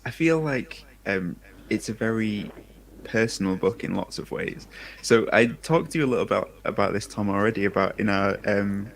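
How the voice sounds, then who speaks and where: Guy with a lowish creaky voice, author chatting on a livestream, kinda reflective and explainy. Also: animated, moderate pace, low-quality recording. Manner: reflective, explanatory, thinking aloud. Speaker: author, guest discussing own book. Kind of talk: casual livestream discussion.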